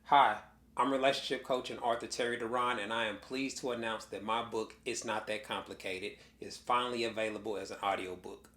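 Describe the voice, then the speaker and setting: A man who is a relationship coach reading a scripted promo for his own audiobook in a calm, measured way. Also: fairly low pitch, reverberant.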